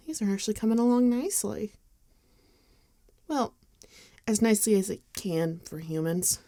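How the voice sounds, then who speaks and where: Caring female vampire friend, played by a woman, ASMR roleplay: mellow, hesitant, very close and dry sound. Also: medium pitch, dry recording. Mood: gentle, casual. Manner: hesitant, gentle, halting with soft sighs. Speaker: ASMR voice actor. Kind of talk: ASMR roleplay acting, intimate and conversational.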